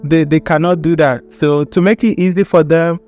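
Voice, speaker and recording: A man from Ghana with a fairly high voice, answering questions as a guest. He sounds easygoing, fills his speech with "like" and likes to act out what other people say. Faint music runs underneath. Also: moderate pace, dull audio. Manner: relaxed, laughs, uses "like" a lot, acts out little quotes. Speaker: graduate student guest. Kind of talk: casual interview chat.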